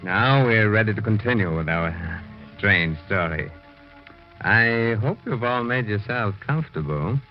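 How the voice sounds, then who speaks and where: A man's voice: on the low side, animated, measured pace, music in the background. A man playing a sardonic, ghoulish horror host with tongue-in-cheek mock politeness in 1940s radio style. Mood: gleeful mockery. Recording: dull old radio recording.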